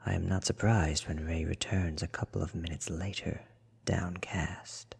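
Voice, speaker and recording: Hushed, breathy man reading in a low whisper. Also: fairly even intonation. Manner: slow, hushed, deliberate. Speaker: ASMR book reader. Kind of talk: soft-spoken whispered ASMR audiobook reading. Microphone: close microphone in front of him.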